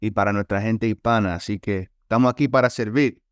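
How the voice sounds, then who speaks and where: A man's voice: lowish voice, very fast, smooth, dry recording, studio quality. A middle-aged man from New York telling his life story as an interview guest, reflective and mixing in Spanish phrases. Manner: reflective storyteller who slips into Spanish, many "you know" fillers. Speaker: guest, author and consultant. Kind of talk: casual interview talk.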